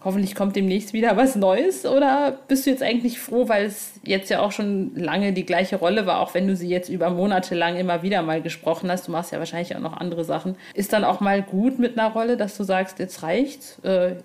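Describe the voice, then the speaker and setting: A woman's voice: medium pitch, fairly even intonation, fairly quick, hardly any pauses, darkish tone. A woman hosting a podcast, interviewing in a casual, chatty and enthusiastic way. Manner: enthusiastic, informal.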